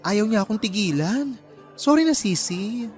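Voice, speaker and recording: A man playing a chatty, teasing young friend in a radio drama, expressive and gossipy. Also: sits high, a little slow. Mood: teasing, coaxing. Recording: music behind the voice.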